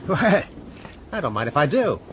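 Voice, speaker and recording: An actor in a radio drama playing an amiable, folksy sailor standing watch. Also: male voice, on the high side, sing-song, moderate pace. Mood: affable. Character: easygoing sailor at the ship's wheel. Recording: clear ambient noise behind.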